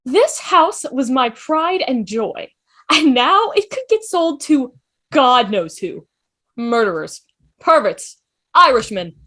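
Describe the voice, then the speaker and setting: A woman's voice: sits high, very animated, slow, bright, forceful tone, heavily acted. A woman voicing a haughty older society lady ghost from the Victorian era, prim and disapproving. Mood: indignant.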